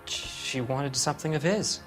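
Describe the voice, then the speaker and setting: An actor playing a nervous, defensive young murder suspect in a video game, hesitant and pleading. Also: male voice, medium pitch, unhurried, fairly bright tone, soft background music, close to an everyday voice, poor audio. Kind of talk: dramatic video game acting.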